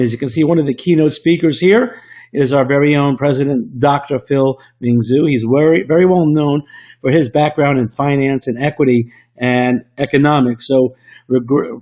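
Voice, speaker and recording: Guy w/ a bit of a rough voice doing a salesy webinar pitch into his computer mic, keeps restarting words. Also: darkish tone, highs cut off. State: slightly rough voice from illness. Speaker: presenter. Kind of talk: online webinar presentation. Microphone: computer microphone.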